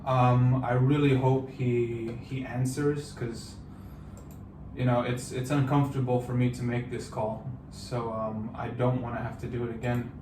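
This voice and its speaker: A man in his thirties from the United States, talking through a personal vlog in a halting, reflective way with many fillers and restarts. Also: mid-range voice, little pitch movement, noisy background. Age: 30s. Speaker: vlogger.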